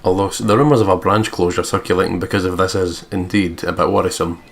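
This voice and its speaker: A man's voice: low voice, fairly even intonation, fast, creaky. A man co-hosting a let's play, reading visual novel narration aloud with joking asides.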